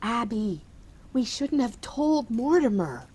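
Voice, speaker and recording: Actress as a prim, lilting elderly aunt. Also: female voice, fairly high pitch, big pitch swings, slow pace, dark tone. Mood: gentle concern. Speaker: radio play actor. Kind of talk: theatrical radio comedy acting.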